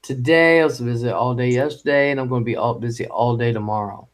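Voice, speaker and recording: A man hosting a casual live stream chat, rambling and halting, with lots of ums and restarts. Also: medium pitch. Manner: halting and rambling, with many fillers. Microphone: home microphone.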